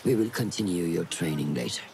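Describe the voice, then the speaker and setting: An actor playing a stern, authoritative older master assassin who instructs a pupil in a video game cutscene. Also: male voice, low-pitched, expressive, fairly quick, creaky, a little mellow, a little hiss or hum. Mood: grave.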